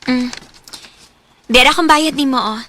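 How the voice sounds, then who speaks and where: A woman's voice: high voice, measured pace, bright, forceful tone, soft background music. An actress with a Cebuano Filipino accent playing a kind, coaxing young female student in a radio drama. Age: young adult.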